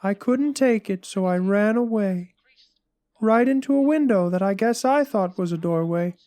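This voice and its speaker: A woman's voice: mid-range voice, lively intonation, moderate pace, mellow, natural voice. A woman playing a humbled, put-upon oddball blogger, telling stories in a plaintive, conversational dramatic reading of a comic blog. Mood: sheepish.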